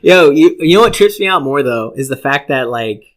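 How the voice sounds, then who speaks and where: A man's voice: a little high, animated, normal speed, fairly bright tone. Joking, foul-mouthed man in his forties. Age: 40s. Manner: profane, joking, slangy. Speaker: podcast co-host. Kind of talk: casual comedic banter.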